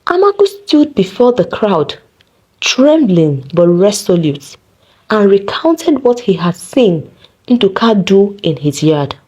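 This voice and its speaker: A woman narrating a scripted folktale in a dramatic, deliberate storytelling manner. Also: mid-range voice, sing-song, darkish tone, slightly rough, low-quality recording.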